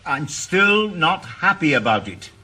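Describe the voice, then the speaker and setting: An actor playing a stubborn, dry-witted retired detective in a 1980s radio drama, with a Welsh accent. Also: male voice, on the high side, very animated, a little slow, bright, forceful tone, husky, exaggerated voice. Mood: dogged. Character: dogged retired detective, middle-aged man, stubborn. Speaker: retired detective turned private investigator.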